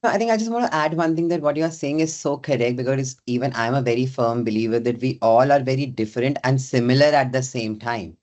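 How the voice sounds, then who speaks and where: A man's voice: medium pitch, fairly even intonation, fast talker, hardly any pauses, darkish tone. A man hosting a university podcast interview, chatty and friendly, with fillers. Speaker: podcast host, associate dean. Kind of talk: casual interview chat.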